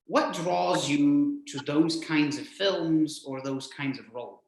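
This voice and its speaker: A man with a slightly higher-pitched voice hosts a casual interview. He rambles haltingly, restarting and repeating words as he shapes his questions. The sound is clearly echoey and roomy. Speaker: show host, interviewer.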